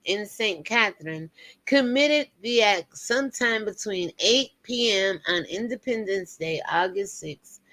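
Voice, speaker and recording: A woman's voice: mid-range voice, fairly bright tone. A woman in her fifties hosting a casual commentary show, chatting to viewers with preachy asides. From United States. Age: 50s.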